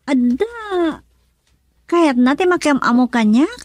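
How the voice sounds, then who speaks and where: A woman's voice: high-pitched, sing-song, slow, a little mellow, heavily acted. An actress playing an earnest young woman, a wounded daughter, in a radio drama. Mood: stunned. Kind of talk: Ilocano radio drama acting.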